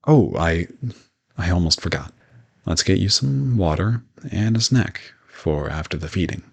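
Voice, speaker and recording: Man acting as male vampire, deep voice, vocal fry, courteous, reassuring, matter-of-fact, conversational audio roleplay. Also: animated, measured pace, exaggerated voice. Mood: calm, reassuring. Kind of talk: roleplay audio drama addressed to the listener.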